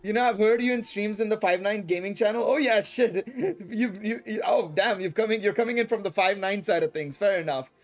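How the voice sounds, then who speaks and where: Higher-voiced streamer guy, shifting Indian English accent. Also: brisk. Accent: shifting Indian English with British and American touches. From Dubai and India. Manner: rambling, slangy, chatty. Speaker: live streamer. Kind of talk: casual livestream chat during gameplay. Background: music.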